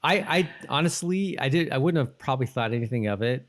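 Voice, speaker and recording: A man's voice: mid-range voice, lively intonation, a little fast. A man co-hosting a podcast, recapping a TV episode in a loose, conversational way, thinking aloud as he goes. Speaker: podcast co-host. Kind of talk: casual chat recapping a TV episode.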